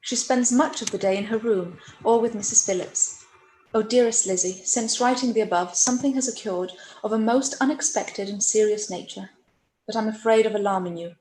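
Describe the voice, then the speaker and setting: A woman playing a gentle, earnest young gentlewoman voicing her own letter in a period radio play, tender and measured. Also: mid-range voice, lightly acted. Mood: anxious. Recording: roomy echo, faint background noise.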